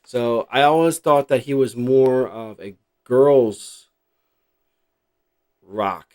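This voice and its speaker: A man's voice: medium pitch, takes it slowly, a little mellow, smooth. Rambling middle-aged American man, chatting casually. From New Jersey, United States. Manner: rambling, reflective, thinking aloud. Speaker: host showing a cassette collection. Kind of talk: casual unscripted vlog chat.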